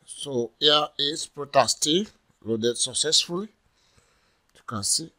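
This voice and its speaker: Slow, bright-voiced man explaining software. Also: a little high. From Nigeria. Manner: slow, deliberate, repeats "all right". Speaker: software tutorial presenter. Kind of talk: screen-recorded software walkthrough. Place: voice-over for screen recording.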